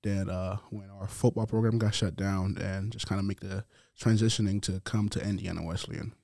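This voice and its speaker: A man in his twenties from Haiti, a college football player answering interview questions in a soft-spoken, halting way. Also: low-pitched, fairly even intonation, no echo, studio quality. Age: 20s.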